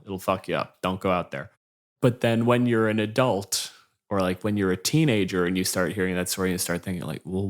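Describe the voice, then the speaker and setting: Deep-voiced man, blunt and wry. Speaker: podcast co-host. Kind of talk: casual banter and discussion.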